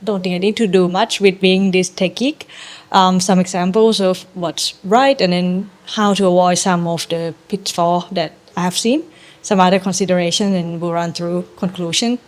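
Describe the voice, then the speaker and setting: A woman's voice: fairly low pitch, fairly even intonation. Woman presenting. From Thailand. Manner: measured and explanatory, frequent ums, non-native grammar. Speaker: startup co-founder giving a talk. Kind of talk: presentation to a live audience.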